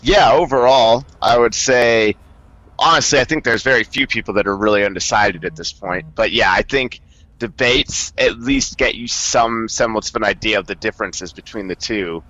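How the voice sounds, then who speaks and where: A man co-hosting a casual podcast, relaxed and blunt, joking easily. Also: fairly low pitch, moderate pace, no echo, lo-fi sound. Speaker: co-host. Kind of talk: casual chat.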